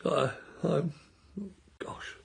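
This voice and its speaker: A man in his sixties playing a quiet, hesitant retired man in a radio play, speaking in soft, trailing phrases. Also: on the high side, steady tone, drawn-out words, creaky, husky, heavily acted. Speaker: radio drama actor.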